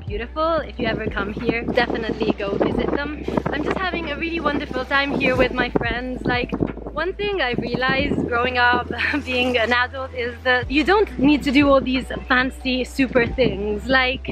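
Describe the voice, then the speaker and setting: A woman's voice: high voice, normal speed, rough recording. A young woman from Italy, talking cheerfully to a camera held at arm's length on a windy seaside path. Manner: cheerful, chatty, talks straight to viewers. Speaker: travel vlogger. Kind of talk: casual vlog narration to camera. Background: music.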